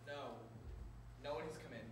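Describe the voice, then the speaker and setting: A man's voice: medium pitch, moderate pace, noisy background, close to an everyday voice. An actor playing an irritable, bossy man of the house in a stage drama, curt and scolding. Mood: impatient. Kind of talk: stage-style drama acting. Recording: echoey hall from far off.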